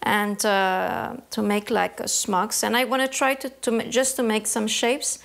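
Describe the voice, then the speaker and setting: A woman from Canada, an embroidery artist, answering interview questions in a thoughtful way, often pausing to search for words. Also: a little high, flat delivery, vocal fry, a bit bright.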